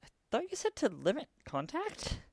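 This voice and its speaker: A man's voice: very high-pitched, huge pitch swings, moderate pace, creaky, full character voice. A man playing an anxious, skeptical and worried friend in an audio drama, hesitant and stammering as he talks. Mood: nervous, confused.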